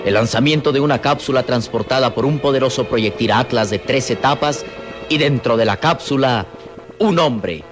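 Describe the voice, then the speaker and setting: A man narrating a scripted radio drama solemnly, in measured phrases with dramatic pauses. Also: medium pitch. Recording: vintage radio drama with music. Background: dramatic music.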